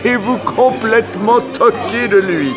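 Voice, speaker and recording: Aged gallant lord, teasing and theatrical, rather high-pitched, vintage radio drama, ballroom music underneath. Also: male voice, measured pace. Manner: courtly, wry, theatrical. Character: elderly English lord, gallant, teasing old charmer. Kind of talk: 1960s French radio play acting. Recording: old radio drama with dance music and crowd.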